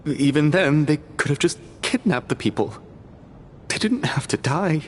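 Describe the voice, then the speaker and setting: A man's voice: fairly high pitch, animated, moderate pace, slight background noise, lightly acted. A man acting a grave, troubled, questioning man in video game dialogue.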